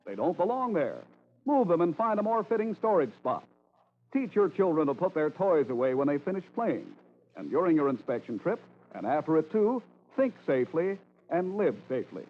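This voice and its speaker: A thin, band-limited vintage radio recording of an American male announcer. His voice sits slightly high, and he reads scripted narration in an emphatic, measured, polished 1940s manner. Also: very animated, phone-quality sound.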